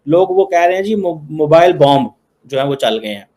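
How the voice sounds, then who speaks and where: A man with a Pakistani accent speaks in a fairly high voice. He gives informal political commentary and leans on repeated phrases to press his point. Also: expressive, moderate pace, darkish tone. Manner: emphatic repetition of phrases.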